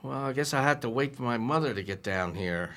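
Man doing a blustery working guy from a radio comedy, stammers a lot, bright voice all over the place. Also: medium pitch, lively intonation, brisk. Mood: anxious. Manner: stammering, ungrammatical, flustered. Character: blustering, flustered working-class everyman trying to be a sport.

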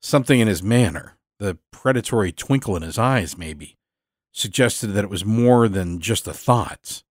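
A man reading scripted first-person fiction as a storyteller, in a measured, dramatic manner. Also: lowish voice, animated, no room sound, clean studio sound. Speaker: storyteller, podcast narrator.

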